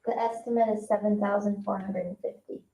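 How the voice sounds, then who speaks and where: A woman's voice: mid-range voice, steady tone, fairly quick, warm tone. An American woman answering questions informally as a staff member at a public meeting. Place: indoor town meeting room. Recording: roomy, dull meeting room sound.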